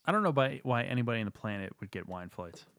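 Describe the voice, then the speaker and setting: A man's voice: medium pitch, sing-song, fast, a bit bright, no room sound. A man with a mid-range voice and a dry, sarcastic way of talking, chatting casually as a co-host. His voice is close and dead, with no room sound at all. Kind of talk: casual chat.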